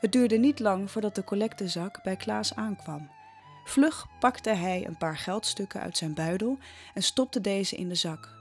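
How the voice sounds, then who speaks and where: Unhurried woman narrating over music. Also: medium pitch. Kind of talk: scripted audio drama narration.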